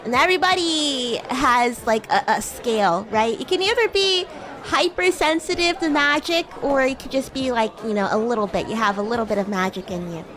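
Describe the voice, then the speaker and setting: A woman voicing a bratty, playful young demon schoolgirl in chatty livestream roleplay, with a teasing, giggly, halting manner. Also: on the high side, fairly bright tone, lightly acted. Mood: curious, animated. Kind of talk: improvised in-character livestream roleplay chat. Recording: music and crowd murmur behind. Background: music and crowd ambience.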